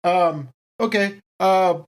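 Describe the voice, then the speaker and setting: A woman's voice: on the low side, slow pace. A woman improvising as a player in a tabletop role-playing game, with casual, wry joking.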